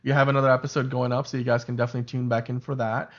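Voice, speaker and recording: A man's voice: mid-range voice, level tone, racing. Livestream co-host, male, Urdu first language, loose and chatty, slightly muffled stream sound. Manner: chatty, self-correcting, laughs often. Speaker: podcast co-host. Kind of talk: casual livestream chat.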